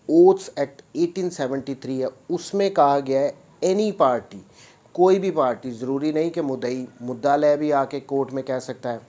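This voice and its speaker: A man's voice: fairly high pitch, warm tone. A man with a Pakistani accent gives an explanatory legal lecture, didactically restating points in other words and mixing in English terms. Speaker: advocate presenting a law lecture.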